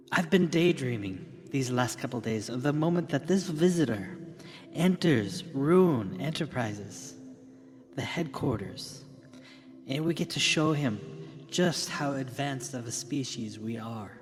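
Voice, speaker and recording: A man playing a confident visionary company founder in a radio drama, delivering a grandiose keynote with deliberate dramatic pauses. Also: mid-range voice, lightly acted. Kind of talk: theatrical keynote address. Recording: long hall reverb with music behind.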